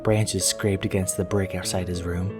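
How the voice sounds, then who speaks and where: A man with a low voice reads a first-person horror story. His delivery is deliberate and measured, like a storyteller. Plucked guitar music plays clearly behind him. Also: flat delivery. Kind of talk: scripted first-person narration.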